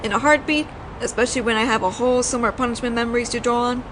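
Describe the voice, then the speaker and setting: A woman's voice: fairly high pitch, steady tone, fast talker, close to an everyday voice. A woman playing a flirtatious, teasing mafia boss in an audio roleplay, talking in a playful, conversational storytelling way. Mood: amused reminiscing. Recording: noisy outdoor city ambience, traffic.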